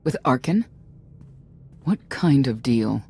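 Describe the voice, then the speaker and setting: A very deep-voiced, heavily raspy hardened underworld woman. She is clipped, dry and coolly intimidating, a confident guide in a video game. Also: expressive, takes it slowly, dark tone, full character voice. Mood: quietly threatening. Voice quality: very husky, raspy.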